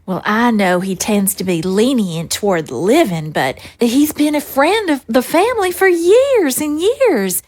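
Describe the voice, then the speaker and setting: High, lively woman playing an anxious first lady. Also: measured pace, hardly any pauses. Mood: uneasy. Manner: earnest, hesitant. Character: anxious, polite first lady, worried wife and mother. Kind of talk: audio drama acting.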